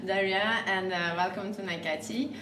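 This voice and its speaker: A woman's voice: mid-range voice, fairly even intonation, normal speed, fairly bright tone. Woman hosting from afar in an echoey café. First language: not English. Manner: hesitant, many fillers and restarts. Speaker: café host. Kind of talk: hosting a live talk. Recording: far-off mic in an echoey café with noise.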